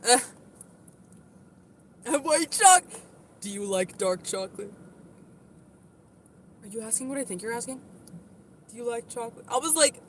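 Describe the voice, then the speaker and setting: A woman with a moderately deep voice talks casually on her livestream. She answers viewer comments in a defensive, chatty way, peppered with "like" and "literally". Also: lively intonation, a little slow, long pauses, slight background noise.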